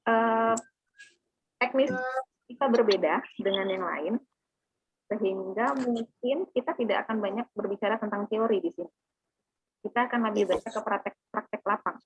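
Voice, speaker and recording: Lady teacher, kinda husky, explaining stuff and checking everyone gets it, tinny online call sound. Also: mid-range voice, normal speed, pauses often, dry recording. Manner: explanatory, often checking understanding. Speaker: teacher giving a class briefing. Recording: narrow phone-like online call audio.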